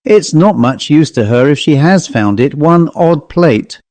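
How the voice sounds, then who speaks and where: A man's voice: on the high side, expressive, a little slow, darkish tone, no room sound. A man voicing a gossipy, matter-of-fact country woman in a storybook reading, conversational and genteel. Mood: teasing.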